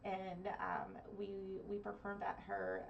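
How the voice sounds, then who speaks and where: A young woman from Argentina talks earnestly about her music as an interview guest, with a giggly lightness. Her voice has a gravelly fry to it. She sounds off-mic and dull, with a faint hiss behind. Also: mid-range voice, monotone, slow. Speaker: singer, guest. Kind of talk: casual interview chat. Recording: distant dull lo-fi studio mic.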